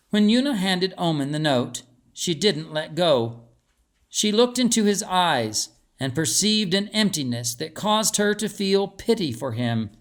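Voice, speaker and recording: A man reading as an author and narrator, telling a story in an expressive scripted narration. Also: a little high.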